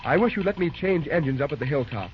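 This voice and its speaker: An old, muffled radio recording presents a man as a young American railroad dispatcher. His voice sits a little high. He speaks with clipped urgency and firm command, in the manner of golden-age radio drama. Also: animated, quick pace, close to an everyday voice. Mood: confident, scheming. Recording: dull, noisy vintage radio recording.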